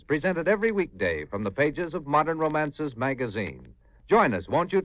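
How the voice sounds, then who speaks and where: A man's voice: mid-range voice, no room sound. A man announcing on 1950s network radio in a polished, declamatory promotional style. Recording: dry, muffled 1950s radio, faint music.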